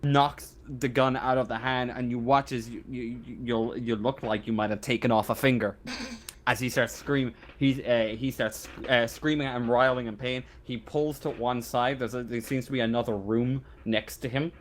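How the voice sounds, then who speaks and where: A man running a tabletop game as game master, narrating casually and haltingly, often repeating words as he thinks. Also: medium pitch, fairly even intonation, slight background noise.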